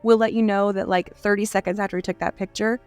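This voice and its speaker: Slightly hoarse, bubbly woman co-host. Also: medium pitch, steady tone, quick pace, a little mellow, soft background music. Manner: bubbly, enthusiastic storyteller. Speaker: podcast co-host. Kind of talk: casual chat.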